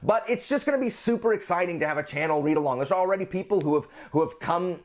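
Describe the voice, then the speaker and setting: Man, fairly high voice, enthusiastic, casual chatty vlog delivery, jokey asides. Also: fast. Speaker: book channel host.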